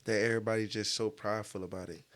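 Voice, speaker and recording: A man's voice: on the low side, fairly even intonation, rapid-fire, a bit bright. A man hosting a podcast in a casual, slangy interview style, with a joking, teasing manner. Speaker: podcast host.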